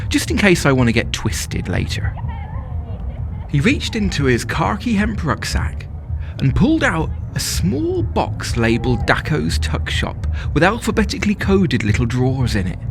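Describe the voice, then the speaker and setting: A smitten young man at a festival, telling his story in a confiding, wry way. His pitch swings widely from line to line, and prominent music plays behind him. He sounds hedonistic yet unsure of himself, in the manner of an audio drama monologue. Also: creaky. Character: smitten, self-doubting, hedonistic young male festival-goer. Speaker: actor performing a monologue.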